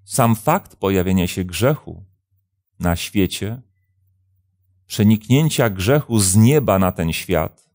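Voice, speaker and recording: A man in his fifties, a pastor hosting a programme, answering religious questions in a measured, deliberate way with hesitant pauses. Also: sits low, expressive, darkish tone, no room sound, clean studio sound. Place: indoor studio, seated at a desk.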